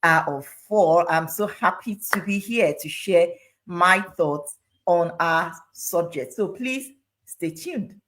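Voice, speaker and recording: A woman's voice: lowish voice, takes it slowly, fairly bright tone. A woman from Nigeria, a chartered accountant, upbeat as she introduces herself on a live panel. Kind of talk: live online panel chat.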